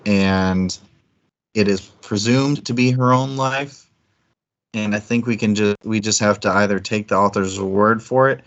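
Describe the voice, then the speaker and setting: A man co-hosting a book discussion stream, talking casually and frankly with easy swearing. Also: on the low side. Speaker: book club stream co-host. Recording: dull lo-fi streaming audio.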